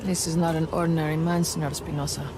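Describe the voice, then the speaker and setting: An actor playing a hard-edged, loyal military commander in a game, giving clipped orders. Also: male voice, a little high, little pitch movement, quick pace, heavy background noise, close to an everyday voice. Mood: commanding. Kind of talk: video game cutscene acting.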